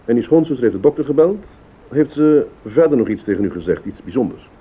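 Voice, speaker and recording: An actor playing a composed private detective who questions politely and methodically in a radio play. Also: male voice, lively intonation, fast talker, slightly rough. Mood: measured, probing. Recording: tinny old radio recording, hiss, rumble.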